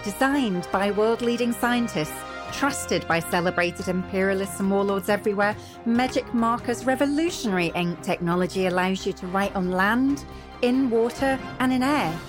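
A woman's voice: medium pitch, normal speed, music in the background, close to an everyday voice. A woman voicing a slick, grandiose commercial announcer in a parody radio advert. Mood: salesy. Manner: salesy, grandiose. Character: slick female commercial announcer selling a miracle product.

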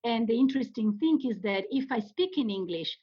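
A woman's voice: a little high, animated, a little fast, slightly rough, muffled recording. A woman with an Italian accent, a guest on an interview podcast, answering questions with frequent fillers and restarts. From Italy. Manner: hesitant, many fillers and restarts.

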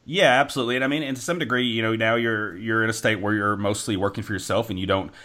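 A man's voice: fairly low pitch, steady tone, fast, sharp tone. A man hosting a podcast, affirming his guest and steering the talk, with many "you know" fillers. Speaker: podcast host. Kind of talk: conversational interview.

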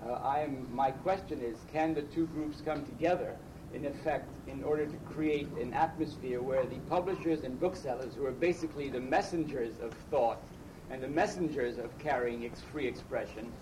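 A man's voice: medium pitch, moderate pace. A man formally and somewhat hesitantly putting a question from the floor at a press conference. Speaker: publishers' representative asking a question. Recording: distant mic, clear background noise.

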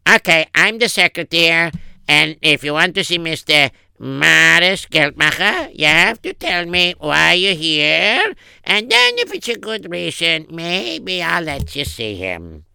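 A man's voice: a little high, sing-song, unhurried, creaky, bright, forceful tone, clean tone, dry recording, pitched above the performer's own voice, heavily acted. A man voicing a chatty, inquisitive female secretary in a comic story. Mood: brisk, officious. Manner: effusive, inquisitive, officious. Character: Mrs. Havemeyer, chatty female secretary with put-on Yiddish-style accent.

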